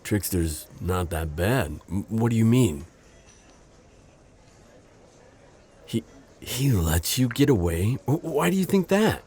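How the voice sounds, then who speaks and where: A man playing a gentle, protective civilian friend in an audio drama, earnest and hesitant with a slight stammer. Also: lowish voice, sing-song, unhurried, husky, heavily acted. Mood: relieved, sympathetic, then puzzled, curious. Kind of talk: naturalistic audio roleplay drama acting.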